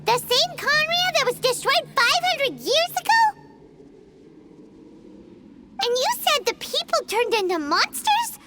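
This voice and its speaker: An actress voicing a small, excitable female fairy companion who asks flustered, confused questions in a high, squeaky voice. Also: slow, long pauses, very noisy, exaggerated voice. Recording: faint game music behind.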